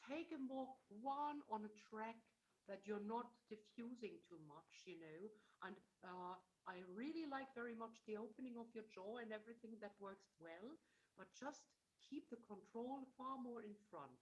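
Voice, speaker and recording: A woman's voice: fairly high pitch, lively intonation, normal speed, lo-fi sound. A middle-aged woman coaching a singer over a video call, sometimes singing phrases to show them and calling the singer "darling.". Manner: demonstrates phrases by singing, then explains warmly with terms like "darling". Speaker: voice teacher. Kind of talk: masterclass coaching.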